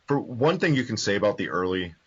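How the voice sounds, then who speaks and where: A man co-hosting a casual video game podcast, quick and opinionated, asking pointed questions. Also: fairly low pitch, big pitch swings, slightly rough. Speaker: podcast co-host. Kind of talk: casual chat about video games. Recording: dull lo-fi podcast audio.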